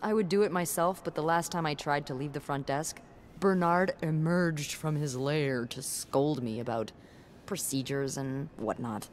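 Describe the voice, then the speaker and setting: An actress playing a gossipy young hotel receptionist in a video game, wry, blunt and sarcastic in natural conversation. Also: female voice, low voice, a little slow, a little hiss or hum.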